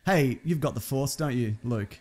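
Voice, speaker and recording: A man's voice: medium pitch, moderate pace, darkish tone. A man giving a casual, jokey, rambling recap of a story as a comedy host, tossing off characters' lines as he goes.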